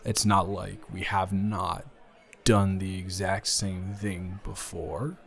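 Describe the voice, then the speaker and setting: A man acts out a smitten young man in an intimate audio roleplay. His voice sits a little low and he takes his time, stammering and wandering through wordy, self-deprecating jokes. He comes across as awkward and jealous beneath the joking. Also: little pitch movement, slow, gravelly, soft background music, heavily acted. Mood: hesitant, earnest.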